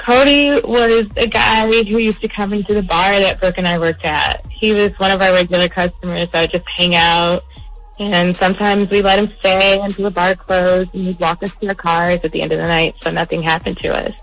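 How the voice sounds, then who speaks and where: A woman's voice: lowish voice, steady tone, normal speed, a bit bright, faint music behind. A young adult woman talking casually as a guest on a radio phone call. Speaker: phone caller.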